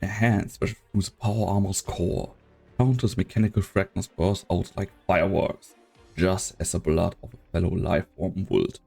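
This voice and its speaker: Deep, husky fan-dub narrator. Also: male voice, steady tone, normal speed, mellow, faint music behind. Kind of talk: scripted narration.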